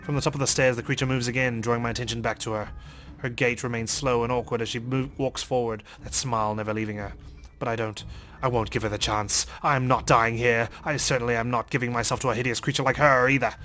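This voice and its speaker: Male let's play reader, mid-pitched, rapid, theatrical storytelling with faint game music behind. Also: fairly even intonation, sharp tone. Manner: theatrical, reading in character. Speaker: let's play host reading game text. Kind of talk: dramatic reading of visual novel narration.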